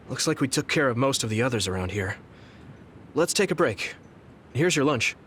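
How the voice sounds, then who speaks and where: A man's voice: medium pitch, expressive, a little slow, fairly bright tone, a little hiss or hum. An actor voicing an earnest, kind, easygoing young man soldier in a video game, speaking in a friendly, sincere way. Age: young adult. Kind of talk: video game voice acting, story cutscene dialogue. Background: background music.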